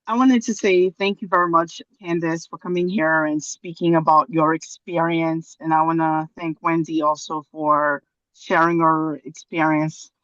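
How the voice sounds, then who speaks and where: A woman from Haiti giving a long comment on a video call, pausing often with fillers and restarts. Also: low-pitched, little pitch movement, a bit bright, no room sound, highs cut off. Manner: hesitant, many fillers and restarts. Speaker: audience member commenting.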